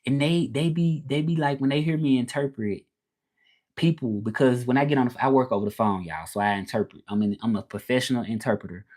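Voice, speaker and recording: A man's voice: mid-range voice, brisk, a little mellow. Southern US man, 30s, easygoing live-chat host who loops back on his points. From Southern United States. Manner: rambling, repeats himself, reads out and answers chat. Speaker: livestream host. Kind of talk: casual livestream chat with viewers.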